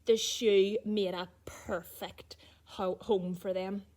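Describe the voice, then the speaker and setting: A woman's voice: mid-range voice, flat delivery, takes it slowly, a little mellow. A woman reading a children's picture book aloud as storyteller, with little asides to young listeners about the pictures.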